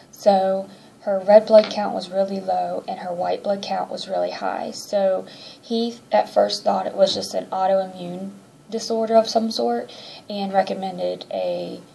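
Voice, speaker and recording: A woman's voice: medium pitch, creaky, warm tone, slight background noise, rough recording. A woman in her thirties giving a chatty, rambling personal update to camera, sounding congested and a little nasal from allergies. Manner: chatty, rambling with many ums. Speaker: vlogger.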